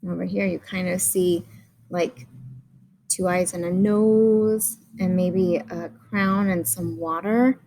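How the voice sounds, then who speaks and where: A woman's voice: fairly low pitch, steady tone, slow pace, dark tone, slight background noise, rough recording. A woman reading a picture book aloud to children, pointing things out and asking questions as she goes. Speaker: children's story reader.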